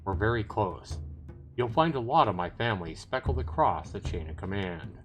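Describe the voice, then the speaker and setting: Man voicing a low, reserved elf scout. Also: expressive, moderate pace, natural voice. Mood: modest, polite. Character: reserved, courteous male elf military scout. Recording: music behind the voice.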